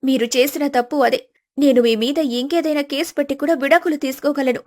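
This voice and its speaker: A woman voicing a young married woman in a family story, measured, polite and quietly independent. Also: sits high, steady tone, close to an everyday voice. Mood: firm, composed.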